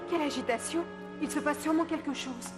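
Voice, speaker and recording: Woman as high-voiced, earnest cartoon noblewoman. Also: fast, lightly acted. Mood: anxious. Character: earnest, determined young noblewoman. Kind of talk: French cartoon dub acting. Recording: prominent music behind voice.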